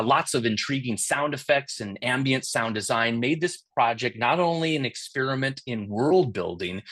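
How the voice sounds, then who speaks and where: A man's voice: medium pitch, a bit bright. A middle-aged man giving a webinar talk as creative director, speaking casually with many fillers while losing his voice. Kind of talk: conference talk.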